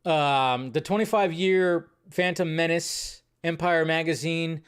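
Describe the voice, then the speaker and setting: A man's voice: fairly high pitch, fairly even intonation, takes it slowly, smooth. A man hosting a casual movie news show, rambling and laughing as he talks. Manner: rambling, laughs often, trails off. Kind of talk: casual solo commentary.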